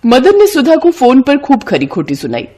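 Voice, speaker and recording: A woman's voice: high-pitched, huge pitch swings, moderate pace, mellow, no room sound. A woman narrating a scripted moral story as a storyteller.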